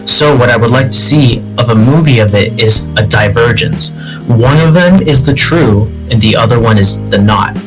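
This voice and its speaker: Male podcast host, mid-pitched, conversational, clear music bed underneath. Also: normal speed, poor audio. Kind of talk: casual panel discussion. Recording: music behind the voice.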